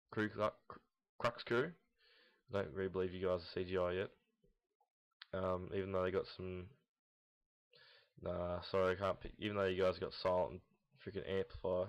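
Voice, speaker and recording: Young man, low-pitched monotone, rambling and blunt, casual unscripted gaming commentary, muffled lo-fi recording. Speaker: host of a gaming team audio log. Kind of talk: casual unscripted commentary on esports team predictions. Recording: dull lo-fi, roomy echo, mouse clicks.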